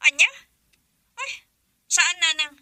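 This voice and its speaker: A woman playing a sick, bright-voiced young wife. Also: extremely high pitch, expressive, takes it slowly, no room sound, full character voice. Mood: subdued. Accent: Ilocano. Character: ill young wife hiding from husband. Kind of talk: Ilocano radio drama acting.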